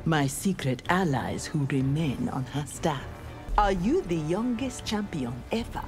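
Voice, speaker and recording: A stern young female ninja in a fighting game. Her voice is very low, formal and resolute, with faint music behind. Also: lively intonation, measured pace. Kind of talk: fighting game character dialogue.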